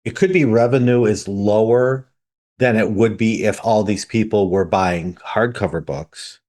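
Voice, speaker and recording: A man co-hosting a podcast who mostly listens, then asks short follow-up questions and reasons them through out loud. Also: on the low side, unhurried. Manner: brief follow-up questions and thinking aloud. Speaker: podcast co-host. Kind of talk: casual podcast conversation.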